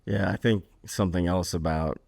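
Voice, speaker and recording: A man's voice: deep voice, steady tone, normal speed, vocal fry, a little mellow, no room sound, clean studio sound. A man hosting a podcast, asking questions in a casual conversational interview style.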